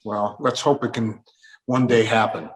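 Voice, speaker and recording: A man's voice: on the low side, fairly even intonation, normal speed, rough recording. A man hosting a panel interview, politely putting questions to his guests. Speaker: host interviewer.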